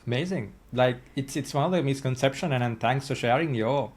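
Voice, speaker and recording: A man's voice: medium pitch, fairly quick. A man hosting an interview podcast, encouraging and affirming his guest in casual chat. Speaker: podcast host.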